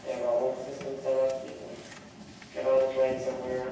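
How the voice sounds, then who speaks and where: A man's voice: mid-range voice, completely flat delivery, fast talker, gravelly, dark tone. A man speaking informally as a panelist, sharing personal observations in a discussion. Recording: very echoey, distant mic, faint noise.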